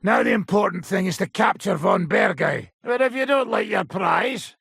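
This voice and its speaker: Gravelly, swaggering mercenary brawler. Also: male voice, high voice, expressive, normal speed, fairly bright tone, no room sound, studio quality, exaggerated voice. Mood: irritated. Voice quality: gravelly, raspy. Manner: coarse, aggressive, swaggering. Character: gruff, foul-mouthed mercenary brawler, menacing and sardonic. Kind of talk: video game character acting.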